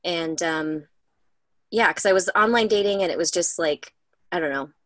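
A woman in her thirties, co-hosting a casual podcast chat in a relaxed, candid way. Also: fairly low pitch, moderate pace, no echo. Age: 30s. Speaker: co-host.

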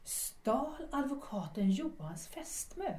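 A wounded ex-wife in her thirties, radio play, highish voice, reproachful, echoey and off mic. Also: big pitch swings, a little mellow, far from the mic. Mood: probing. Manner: challenging, formal old-fashioned address. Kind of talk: radio drama acting.

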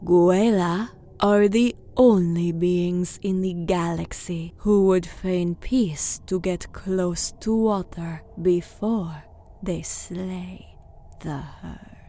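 A woman's voice: on the low side, fairly even intonation, very slow, mellow. A woman playing a proud, stern alien commander in an audio drama, speaking formally in clipped, cold, haughty phrases. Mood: contemptuous.

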